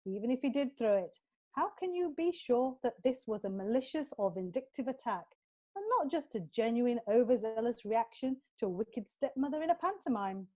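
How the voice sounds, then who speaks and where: Woman playing an earnest high-voiced defence lawyer. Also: expressive, moderate pace, mellow. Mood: earnest pleading. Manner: formal, persuasive, earnest. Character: earnest defence lawyer pleading for her client. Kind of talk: courtroom audio drama acting.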